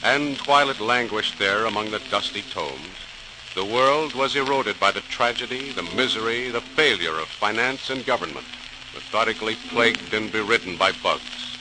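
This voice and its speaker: A man giving formal, deliberate, dramatic scripted narration in the manner of a 1940s radio programme. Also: on the low side. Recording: hissy, crackling 1940s radio recording.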